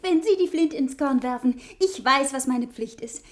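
A woman's voice: sits high, normal speed, natural voice. A woman playing an anxious, devoted young wife in an old-fashioned radio drama, urgent and melodramatic. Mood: urgent, determined. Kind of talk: 1950s-style German radio drama acting.

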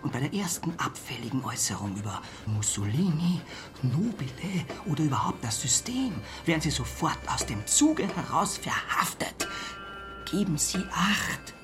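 An actor playing an anxious, learned traveller who confides warnings in a radio drama. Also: male voice, a little high, very animated, normal speed, over music. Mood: hushed, conspiratorial.

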